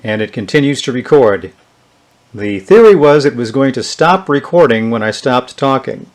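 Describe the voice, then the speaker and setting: A man with a medium-pitched voice talks through a hands-on demonstration in a casual way. He is unscripted and thinks aloud as he tests, like a hobbyist reviewer showing a device. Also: lively intonation, moderate pace. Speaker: vintage electronics reviewer.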